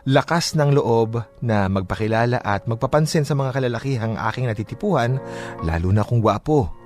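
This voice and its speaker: A man's voice: medium pitch, expressive, moderate pace, a little mellow. A man reading a listener's letter as scripted narration for a radio show. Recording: clear music bed under voice. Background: music.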